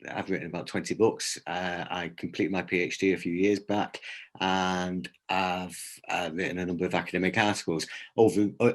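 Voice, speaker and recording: A middle-aged man with a deep voice introduces himself informally. His delivery is modest and a little halting, as if picking his words on a video call. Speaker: historian and writer. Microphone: video call microphone.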